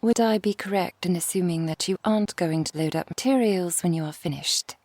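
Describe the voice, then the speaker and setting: Woman playing a refined merchant, low dry voice, formal measured diction, composed and cold-hearted, ASMR audio drama. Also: darkish tone, dry recording, close to an everyday voice. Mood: calm, restrained.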